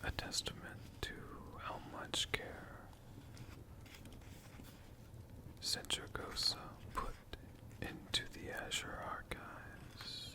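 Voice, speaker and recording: A man playing a friendly, bookish dragon, telling stories in a hushed, whispered and soft-spoken ASMR style with long, deliberate pauses. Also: natural voice. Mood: gentle, fond. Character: friendly male dragon shopkeeper, gentle bookish storyteller. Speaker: ASMR host.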